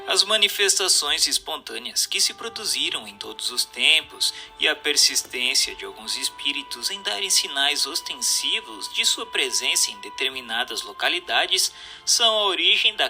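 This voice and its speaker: Bright, creaky Brazilian man reading. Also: mid-range voice. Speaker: narrator and questioner. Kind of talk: scripted audiobook reading, question and answer. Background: music.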